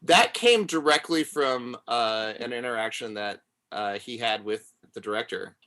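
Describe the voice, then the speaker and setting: A man co-hosting a podcast, chatting casually about film in an animated, readily amused way. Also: medium pitch, a little slow. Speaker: podcast co-host.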